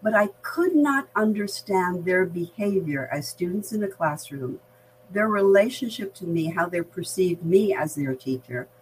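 An older woman with an American accent and a slightly low-pitched voice talks in a reflective interview. She explains things in a measured, articulate way. Clear background noise and hum sit behind her. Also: animated, poor audio. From Barbados. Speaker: veteran diversity practitioner, podcast guest.

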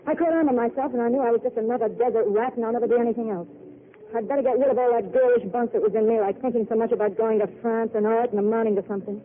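A woman's voice: on the high side, steady tone, very fast, close to an everyday voice. A young actress playing a restless, dreamy girl stuck in the desert, wistful and plain-spoken, in an old radio drama. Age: young adult. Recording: tinny old radio broadcast with faint noise.

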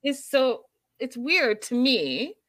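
Bright-voiced woman hosting a chat show. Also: high-pitched, very animated, takes it slowly, no echo, clean studio sound. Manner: thinking aloud, many fillers and restarts. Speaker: host. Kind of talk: casual discussion with live chat.

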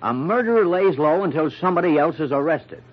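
An actor playing a brash, wisecracking newspaper reporter in an old-time radio mystery, with blunt, slangy, sardonic delivery. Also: male voice, a little high, expressive, a little fast, muffled recording. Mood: wry, confident.

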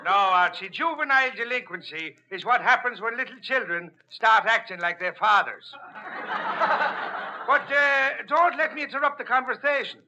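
A man's voice: extremely high pitch, expressive, moderate pace, sharp tone, dull audio, heavily acted. An actor playing a blustery, sly Irish policeman with mock-official insistence in a 1940s radio comedy. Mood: genial. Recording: slightly noisy old radio broadcast.

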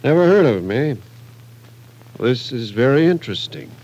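An American actor playing a patient police captain, questioning with measured persistence in an old radio drama. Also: male voice, mid-range voice, sing-song, darkish tone, poor audio. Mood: patient, probing. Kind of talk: 1940s radio drama acting.

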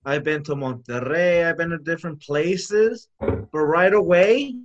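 A young man with a Mexican American accent, co-hosting a casual podcast chat, animated and quick to cut in. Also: fairly high pitch, highs cut off. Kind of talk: casual conversation.

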